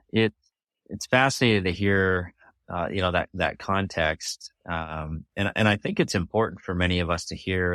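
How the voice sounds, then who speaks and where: Low-voiced male podcast host. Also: a bit bright, dry recording. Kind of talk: conversational interview.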